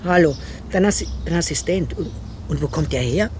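An actor in a radio drama playing a reflective, gently ironic hospital psychiatrist with a dry, understated manner. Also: male voice, on the high side, animated, brisk, natural voice. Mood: ironic, irritated. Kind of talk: radio drama narration and dialogue. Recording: clear ambient noise, vehicle sounds.

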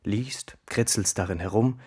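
A jealous companion inside a writer's head in a radio drama. The voice sits on the low side and comes in short, pointed, accusing asides. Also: male voice, a little slow, darkish tone, husky. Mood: reproachful. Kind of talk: intimate radio drama dialogue.

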